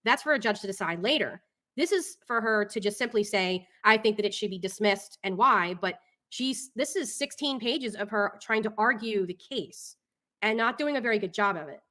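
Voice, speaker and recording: A woman's voice: mid-range voice, animated, normal speed, bright, forceful tone. A woman hosting a casual live talk show, reading documents and commenting in an exasperated, opinionated way.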